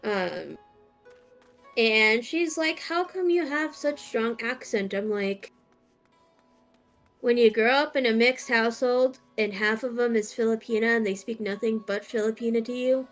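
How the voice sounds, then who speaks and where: A woman's voice: on the high side, unhurried, soft background music. A young woman streaming a game, chatting casually with lots of fillers and restarts. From United States. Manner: hesitant, many fillers and restarts. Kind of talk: casual chat.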